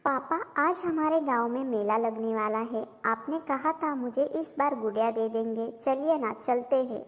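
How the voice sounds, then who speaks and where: Agreeable, eager village wife or daughter for a cartoon tale female actor, high voice, dull reverberant sound. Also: moderate pace, natural voice. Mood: eager, pleading. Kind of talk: moral cartoon story dialogue.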